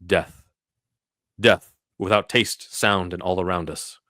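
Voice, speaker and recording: A man acts out a grave masked superhero detective in a very low voice. The delivery is solemn and deliberate, like a comic-book drama, with a dry, close sound. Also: fairly even intonation, bright, forceful tone, no room sound, exaggerated voice.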